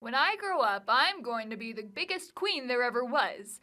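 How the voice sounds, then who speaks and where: Woman acting a bossy, high-voiced little girl. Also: very animated, moderate pace, bright, forceful tone. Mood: boastful, excited. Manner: imperious, emphatic. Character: bossy, boastful little girl dreaming of royalty. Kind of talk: theatrical character monologue. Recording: music bed behind voice.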